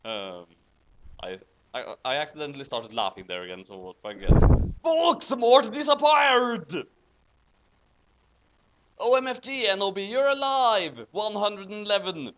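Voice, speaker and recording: Theatrical man with wildly swinging pitch. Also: lively intonation, a little slow, a bit bright, rough recording. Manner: theatrical, hammy emphasis; giggly, breaking into laughter. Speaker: host reading bad fan fiction aloud. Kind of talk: dramatic reading with amused commentary.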